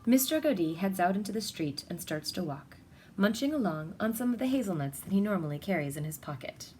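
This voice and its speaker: Female storybook reader, mid-pitched, expressive and deliberate, recorded with audible background noise. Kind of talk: children's picture-book read-aloud.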